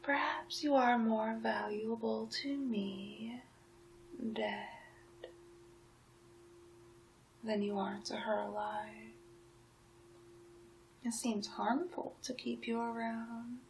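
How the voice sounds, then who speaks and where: A woman playing a sly, menacing town witch in a soft-spoken, whispered ASMR roleplay, murmuring deliberately. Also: level tone, slight background noise, exaggerated voice, poor audio. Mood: cold, accusing. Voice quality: soft, breathy whispering mixed with low-volume speech.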